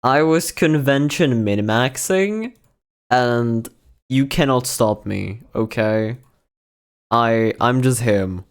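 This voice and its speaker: A young man with a worn-out, hoarse voice, chatting casually and profanely to his stream from his bedroom, with lots of restarts and slang. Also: medium pitch, takes it slowly. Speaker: VTuber streamer. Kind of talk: casual livestream chat. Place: own bedroom.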